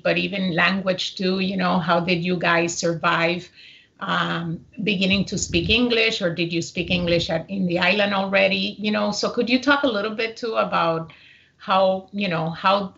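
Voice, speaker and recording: A woman's voice: on the low side, creaky, bright, forceful tone. A middle-aged woman interviewing an author from a bedroom on a video call, asking rambling questions full of fillers. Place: bedroom at home. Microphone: laptop webcam video call. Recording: muffled video call audio.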